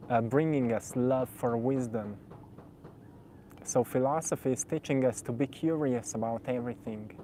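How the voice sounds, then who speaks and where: A man in his twenties whose first language is Slovenian, with a warm, mellow, relaxed voice at a middle pitch. He talks gently and searchingly straight to his viewers. He circles back over his own phrases as he builds each thought. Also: slight background noise. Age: 20s. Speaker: spiritual video host. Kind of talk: reflective spoken monologue.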